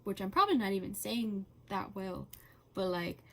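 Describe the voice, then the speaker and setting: A woman chatting casually and rambling while coloring, halting often with fillers and laughing between thoughts. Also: mid-range voice, lively intonation. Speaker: channel host.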